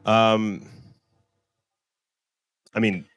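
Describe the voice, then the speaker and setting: A man's voice: lowish voice, little pitch movement, very slow, a bit bright, clean tone. A man in his thirties who grew up in Iran, an author talking loosely and candidly at a bookstore event, filling his speech with "right?" and "you know". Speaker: author at a book talk. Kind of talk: candid conversational talk.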